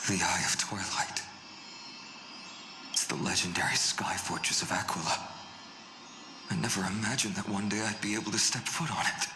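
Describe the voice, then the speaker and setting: Young adventurer hero for a game: lowish register, earnest, thoughtful delivery, echoing space with music underneath. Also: male voice, fairly even intonation, measured pace, long pauses. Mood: awed. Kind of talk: scripted video game dialogue. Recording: echoey, with game music behind.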